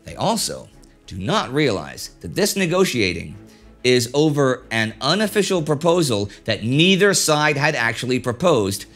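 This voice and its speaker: A man's voice: mid-range voice, a bit bright. A middle-aged man hosting a history show, presenting a script in a conversational way with wry asides, seated at a desk in a studio set with a lapel mic. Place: indoor studio set styled as an office.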